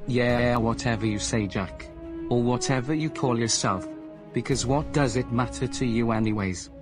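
A man's voice: mid-range voice, normal speed, close to an everyday voice. A man voicing an arrogant, foul-mouthed evil villain who taunts and curses, in an amateur voice drama, with music behind. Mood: rude. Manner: rude, cursing, taunting.

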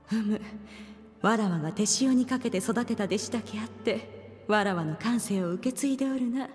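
A woman's voice: medium pitch, moderate pace, a little mellow, soft background music, lightly acted. A woman voicing a proud, regal ancient immortal in an anime game, a stern but caring master with stately, archaic diction.

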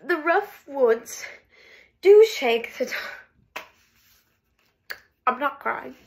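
A woman acting out a heartbroken wife refusing a divorce, near tears and swinging between hushed whispers and loud outbursts. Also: high-pitched, lively intonation, drawn-out words, exaggerated voice. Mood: manic, tearful. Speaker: actress performing a monologue. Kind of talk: comic stage monologue.

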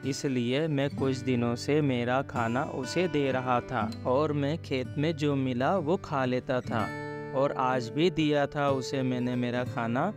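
A man playing a humble, kind village farmer in an animated moral story, speaking in a gentle, reassuring way. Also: medium pitch, takes it slowly, natural voice. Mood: explaining. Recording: background music under the voice.

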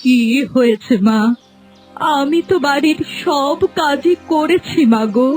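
A woman's voice: sits high, slow pace, darkish tone, soft background music, rough recording. A woman playing a frail, meek old mother-in-law in a cartoon folk tale, pleading in a weary, plaintive drawl. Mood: pleading, hurt. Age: older.

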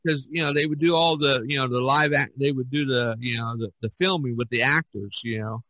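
A man's voice: mid-range voice, fairly quick, bright, forceful tone, no room sound, low-quality recording. A man hosting a podcast in casual discussion, rambling with many fillers and restarts. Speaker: podcast host.

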